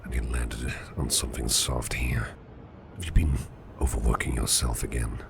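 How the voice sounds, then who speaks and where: A man playing a kindly, protective businessman boss in an ASMR roleplay, speaking in a gentle, reassuring, gravelly murmur. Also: steady tone, normal speed. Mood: worried, soothing. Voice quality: very deep, gravelly, breathy murmur. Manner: gentle, reassuring, softly spoken. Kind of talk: ASMR personal attention roleplay. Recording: clear background noise and ambience.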